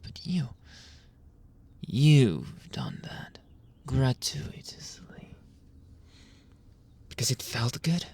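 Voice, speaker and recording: Man acting out a husky, whispering, seductive mafia boss. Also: mid-range voice, heavily acted. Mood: cold, accusing. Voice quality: breathy, husky, often dropping into whisper.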